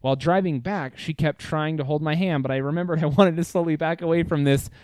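A man's voice: on the high side, fairly quick, a little mellow, no echo, clean studio sound. A man co-hosting a casual chat, reading a listener's story aloud with wry, profane asides.

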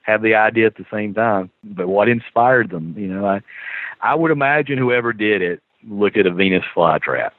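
A man's voice: fairly low pitch, normal speed, no echo. Southern man on a tinny phone line. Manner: animated, chuckling storyteller. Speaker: guest author. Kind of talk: casual podcast chat.

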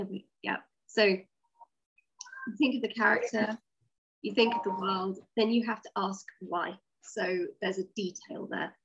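A woman's voice: medium pitch, a bit bright, breathy edge, highs cut off. A woman interviewed as a writer, explaining her method conversationally, step by step, with rhetorical questions. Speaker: interview guest, audio drama writer.

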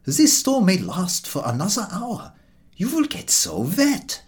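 Man voicing a high-pitched kindly old gentleman. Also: wildly swooping pitch, measured pace, heavily acted. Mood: anxious, kindly. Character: kindly, anxious stout old gentleman hailing stranded walkers.

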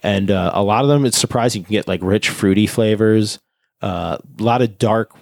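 A man's voice: on the low side, normal speed, vocal fry, darkish tone, no echo. A man chatting casually and at length, nerdy and rambling, with fillers and casual swearing. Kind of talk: casual chat.

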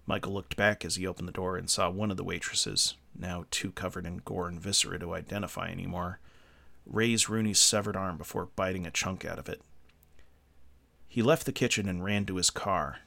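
Low, gravelly male horror narrator. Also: fairly even intonation, fairly quick, pushed and bright. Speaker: horror fiction podcast narrator. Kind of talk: scripted horror story narration.

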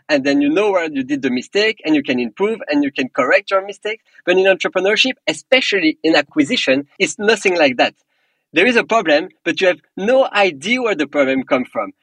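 A man's voice: a little high, fairly bright tone, husky, no echo, rough recording. A man from Belgium with a French accent, a startup co-founder answering podcast interview questions in an earnest, motivational way with small non-native grammar slips.

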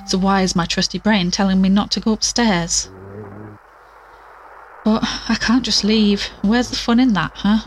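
A woman's voice: medium pitch, steady tone, moderate pace, faint music behind, lightly acted, rough recording. A woman playing a plucky, curious investigator in an audio drama, chatting to listeners with wry asides as she searches. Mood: uneasy, brave.

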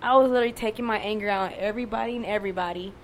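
A young woman with a fairly monotone voice, talking candidly and casually, swearing and using lots of fillers. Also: mid-range voice, rapid-fire, slight background noise. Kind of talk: casual conversation.

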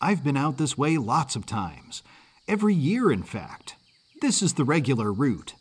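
A man's voice: medium pitch, sing-song, normal speed, breathy edge. A man voicing an exuberant orphan circus boy in an audiobook story, talking in an eager, folksy way with a mid-Atlantic American accent. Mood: cheerful, proud.

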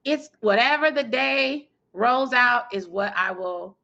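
A woman in her thirties or forties, guest in an interview, talking energetically and emphatically with a loud, powerful voice and frequent laughter. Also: a little high, lively intonation, slow, muffled recording. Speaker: guest, PR firm founder and speaker.

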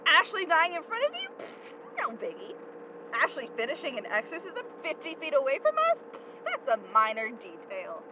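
A woman acts a betrayed young woman in an audio drama, biting and sarcastic. Her high voice swings widely in pitch, over clear vehicle noise. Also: wildly swooping pitch, moderate pace. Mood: furious, sarcastic. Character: Rhea, hurt, sharp-tongued young woman feeling betrayed. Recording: dull, noisy lo-fi recording.

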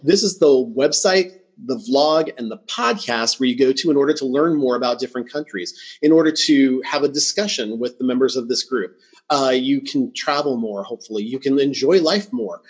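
A man hosts a travel podcast in a casual interview style, upbeat and quick to laugh. His voice is a little husky and breathy, sitting at a middle pitch. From California. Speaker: podcast host.

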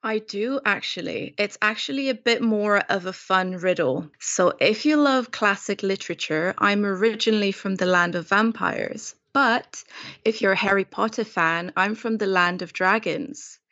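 A woman's voice: mid-range voice, moderate pace, a bit bright, dry recording. A woman from Romania, a food blogger, telling her story casually as a podcast guest over slightly dull audio.

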